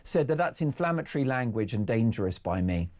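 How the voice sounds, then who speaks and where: A man's voice: mid-range voice, very animated, fairly quick, a little mellow. A male radio host in a studio with a mid-range voice. He fields callers with a plain, conversational delivery and steers the talk with pointed questions.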